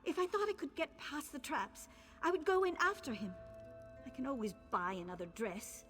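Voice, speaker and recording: A lovelorn game heroine, frets in a very high, animated voice. Her words ring out in long reverb, like a lament in a big hall. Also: normal speed. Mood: anxious lament. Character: lovelorn woman fretting over her trapped true love. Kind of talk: video game character acting. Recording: long hall reverb, faint music behind.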